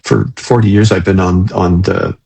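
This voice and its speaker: Male host, middle-aged or older, husky and lowish, casual and hesitant in conversation. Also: fairly even intonation, mellow. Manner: hesitant, halting, repeats words while thinking aloud. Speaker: interview host. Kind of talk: casual live interview chat.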